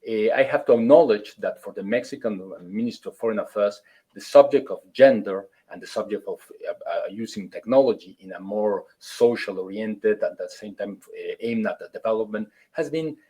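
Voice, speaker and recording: Low husky guy opening a webinar on a call, lots of uhs and restarts, formal. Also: dark tone. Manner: halting, many fillers and restarts, formal phrasing. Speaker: consulate official opening a webinar.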